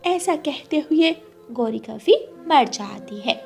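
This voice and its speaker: A woman narrator reading a scripted children's animated story. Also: high-pitched, takes it slowly, gravelly, soft background music.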